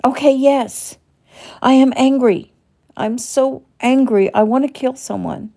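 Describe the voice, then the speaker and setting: A woman voicing a traumatized young woman who shouts in rage and breaks toward tears. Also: fairly high pitch, normal speed, creaky, voice pushed up. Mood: furious.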